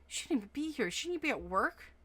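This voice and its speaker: A woman's voice: high voice, big pitch swings, fast, fairly bright tone, voice pushed up, exaggerated voice. A woman voicing a short-tempered young woman with a tail, bitter and swearing in a storybook reading. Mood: hissing.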